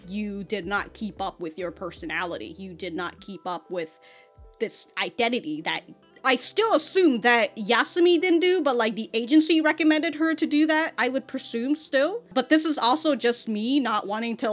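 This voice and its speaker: An American woman giving casual, rambling reaction commentary, with an animated, slangy, sweary way of talking. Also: a little high, normal speed, soft background music. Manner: animated, sweary, slangy, often laughing mid-thought. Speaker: reaction video host.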